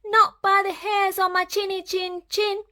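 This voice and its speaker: Horace, a woman doing a squeaky scared pig. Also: level tone, measured pace, bright, forceful tone, heavily acted. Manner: squeaky, panicky yelping. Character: frightened little male pig.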